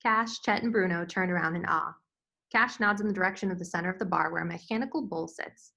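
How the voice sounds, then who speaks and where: A woman narrates screenplay action and scene headings from a script. Her voice is bright and forceful, fairly low, with noticeable vocal fry. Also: quick pace, dull audio. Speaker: stage-directions narrator.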